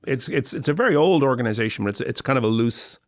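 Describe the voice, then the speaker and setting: A middle-aged man with a Dublin Irish accent, halting and repeating words as he explains in a casual group chat. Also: mid-range voice, lively intonation, no room sound, studio quality. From Ireland (Dublin). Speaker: member of a charity club.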